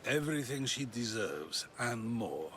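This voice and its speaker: A man's voice: mid-range voice, sing-song, measured pace, fairly bright tone, slight background noise. An actor playing an earnest, dignified Athenian man, steadfast and devoted, in a cinematic video game.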